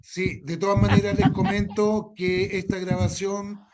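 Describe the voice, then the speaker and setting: High-pitched Chilean man hosting a workshop. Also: little pitch movement, unhurried, over a noisy crowd. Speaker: workshop host. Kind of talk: informal workshop talk.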